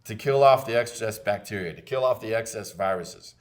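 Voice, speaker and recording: A man's voice: on the low side, fairly quick. A man, hosting a casual health podcast in an emphatic, preachy way and often repeating himself. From Texas. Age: 50s. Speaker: podcast host, chiropractor.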